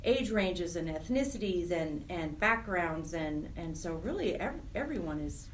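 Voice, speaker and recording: Low-voiced American woman, slightly distant. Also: lively intonation, measured pace. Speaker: interview guest, program director of a nonprofit chapter. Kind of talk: radio interview, explanatory talk. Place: radio show interview. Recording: distant mic with faint noise.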